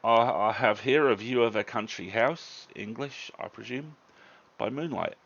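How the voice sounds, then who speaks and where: Man playing a measured, observant college fellow. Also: mid-range voice, a bit bright, highs cut off, pitched above the performer's own voice. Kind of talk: dramatic storybook reading.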